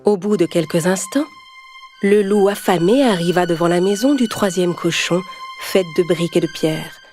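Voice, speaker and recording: A woman's voice: lowish voice, moderate pace. A woman reading scripted narration for a children's story as storyteller. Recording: loud music behind. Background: music.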